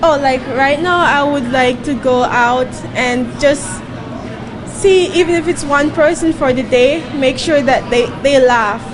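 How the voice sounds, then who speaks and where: A woman's voice: sits high, measured pace, over music. A young woman from Jamaica, a volunteer answering interview questions earnestly and searching for words. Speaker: volunteer guest. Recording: noisy crowd around.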